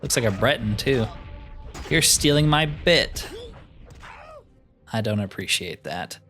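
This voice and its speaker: A man hosts a gaming video in an ordinary mid-pitched voice, with music playing faintly behind him. He talks through his play casually, thinking aloud with wry grumbles. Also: animated, slow pace, bright, forceful tone. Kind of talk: casual let's play commentary.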